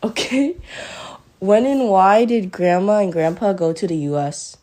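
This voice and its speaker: A woman's voice: mid-range voice, lively intonation, a little slow, darkish tone. Female interviewer; plain, straightforward question delivery. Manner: reads prepared questions plainly. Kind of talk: family interview.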